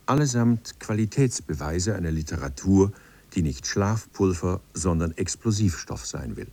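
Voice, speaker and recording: A man's voice: low voice, animated, moderate pace, dark tone. A man reading a scripted documentary narration as its narrator.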